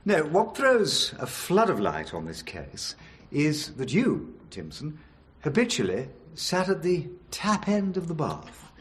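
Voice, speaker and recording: A pompous, animated English judge. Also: male voice, on the high side, slow, exaggerated voice. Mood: pompous, solemn. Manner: pompous, ponderous, earnest. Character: pompous, easily fixated middle-aged male English High Court judge. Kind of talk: radio drama acting.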